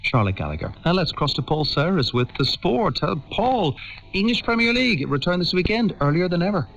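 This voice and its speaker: A man's voice: high voice, sing-song, brisk, fairly bright tone. A man presenting a news programme and handing over to reporters, heard over shortwave radio. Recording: dull shortwave radio broadcast.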